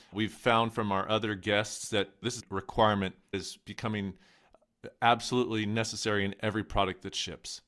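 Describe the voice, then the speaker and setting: A man's voice: lowish voice, a bit bright, clean tone. A man co-hosting a tech podcast, upbeat and friendly as he introduces and questions a guest. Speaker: podcast co-host.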